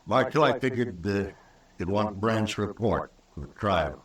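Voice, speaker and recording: A man's voice: lowish voice, lively intonation, unhurried, fairly bright tone. A male actor playing a hot-tempered, vengeful American rancher in a 1950s radio western, indignant and blustering, with rustic speech. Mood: businesslike. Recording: old radio recording, roomy and distant.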